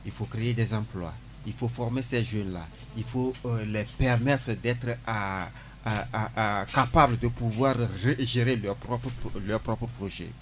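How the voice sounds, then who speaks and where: A male radio guest with a lowish voice, speaking deliberately and pausing often as he works through his answer. Faint background noise sits under his voice. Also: a bit bright. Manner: deliberate, hesitant speech. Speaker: studio guest. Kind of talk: radio discussion.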